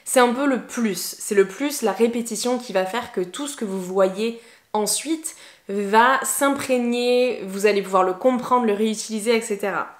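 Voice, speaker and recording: A woman with a fairly high voice shares study tips in a relaxed, encouraging way, often pausing on little fillers. She sounds like a teacher talking to her viewers. The room around her is echoey. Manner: chatty, encouraging, many fillers. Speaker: English teacher. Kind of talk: casual tips to camera.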